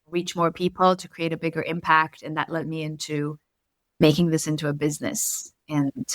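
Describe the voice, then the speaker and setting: A woman from Israel, a native Hebrew speaker with an Israeli accent, speaking earnestly as a coach in an interview, slowing to stress key points. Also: deep voice, clean tone, no room sound, clean studio sound. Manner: earnest, measured, slows for emphasis. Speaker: coach, podcast guest. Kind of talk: reflective interview talk. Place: home in Berlin.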